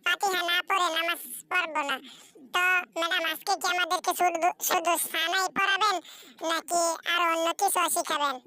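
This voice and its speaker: A woman's voice: extremely high pitch, steady tone, moderate pace. A woman voicing eager cartoon schoolchildren. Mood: polite. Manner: eager, respectful, repeats loudly after the teacher. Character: eager young schoolchildren as a group. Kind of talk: cartoon voice acting.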